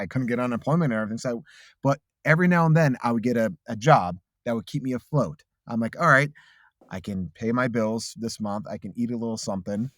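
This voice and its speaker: A man's voice: medium pitch, dry recording, studio quality. A man in his late thirties, a voice actor, telling his story in a casual interview, earnest and reflective with frequent restarts. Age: late 30s. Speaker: voice actor guest.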